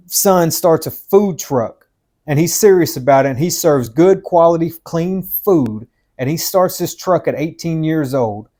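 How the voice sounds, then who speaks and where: A man's voice: on the high side, mellow. Blunt, emphatic man in his fifties. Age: 50s. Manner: blunt, emphatic, repeats himself, calls the listener "man" and "dude". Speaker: podcast host giving life advice. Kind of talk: motivational advice talk.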